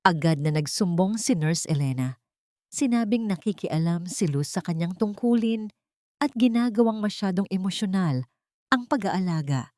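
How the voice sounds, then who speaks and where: A woman narrates an audio drama from a script. Her voice is on the low side, recorded close and dry, with a dramatic storytelling delivery. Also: warm tone, no room sound.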